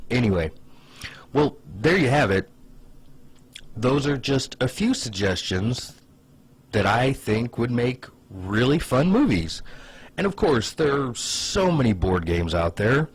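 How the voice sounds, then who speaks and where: A man's voice: medium pitch, very animated, slow pace, slightly rough. A man giving casual comedic commentary in a conversational way, with hesitant asides and plenty of "like". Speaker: online video host.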